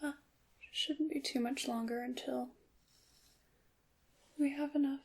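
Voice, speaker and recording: A woman playing a gentle, knowing witch in an ASMR roleplay, speaking in a breathy half-whisper with deliberate, slightly formal phrasing. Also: high voice, steady tone. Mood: hushed, instructive. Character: gentle, knowing witch teaching her craft. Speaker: ASMR performer.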